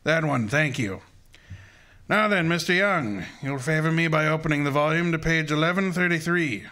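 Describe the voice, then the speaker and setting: A man's voice: a little high, moderate pace, bright, forceful tone. A man playing an imperious, erudite master detective in a radio drama, speaking in a formal, precise and pompous way. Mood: imperious, accusing. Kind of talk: old-time detective radio drama acting.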